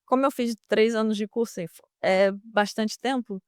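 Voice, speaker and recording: A woman's voice: on the high side, moderate pace, smooth, no echo, clean studio sound. A young woman with a Brazilian Portuguese accent, a guest in an interview, answering casually with many hesitations and restarts. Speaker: guest, former student now a merchant navy officer.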